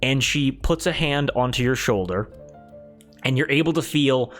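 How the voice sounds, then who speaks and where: A man's voice: medium pitch, moderate pace. A man improvising narration as game master of a tabletop game, often repeating and rephrasing as he goes. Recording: background music under the voice. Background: music.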